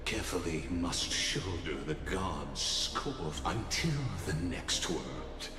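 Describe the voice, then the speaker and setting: A deep-voiced, grandiose herald, declaims slowly with wide, swooping inflection. He shifts between solemn proclamation and sly teasing. The game audio is roomy, with a long reverb and loud music underneath. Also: lively intonation. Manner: theatrical, declaiming, playful. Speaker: the Theoros, a theatrical herald.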